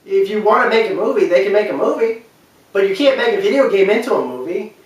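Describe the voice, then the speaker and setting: A man's voice: high voice, expressive, quick pace. A man giving an unscripted opinion talk as a commentator, speaking emphatically and repeating phrases for stress. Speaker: video commentator. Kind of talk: unscripted opinion talk about film and games. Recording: very echoey room, distant mic.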